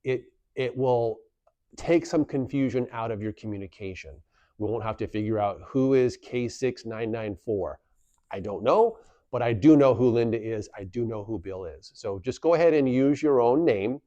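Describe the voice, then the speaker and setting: A man's voice: mid-range voice, mellow, no echo. Man, instructional presenter, plain step-by-step delivery, repeats key points, dry close sound, slightly lo-fi. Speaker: instructional video presenter for a community association. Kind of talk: instructional how-to explanation.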